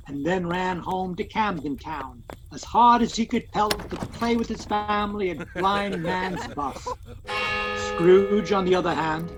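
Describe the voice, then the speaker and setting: An actor as the narrator of an audio play, telling the tale in a dramatic scripted storytelling style. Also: male voice, high-pitched, fairly bright tone, low-quality recording. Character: male storyteller of a Christmas ghost tale.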